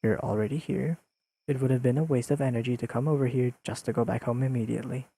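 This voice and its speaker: A man playing a deadpan, pedantic but secretly fond young friend in an intimate audio roleplay, speaking in precise, dryly teasing sentences to the listener. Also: medium pitch, quick pace, slightly rough. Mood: dry scolding. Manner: deadpan, precise, formal wording with dry teasing.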